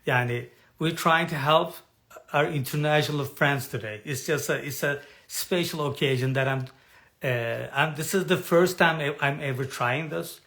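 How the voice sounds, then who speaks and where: A man coaching an online audience in personal development, talking in a halting way with frequent restarts as he searches for words. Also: a little high, fairly bright tone. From has lived in Turkey for decades. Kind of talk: live talk to an online audience.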